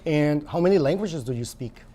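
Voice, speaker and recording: A man's voice: on the high side, animated, a little fast, warm tone, slight background noise. A man hosting a talk show, asking questions conversationally and encouraging his guest. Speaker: talk show host and interviewer. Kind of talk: conversational interviewing.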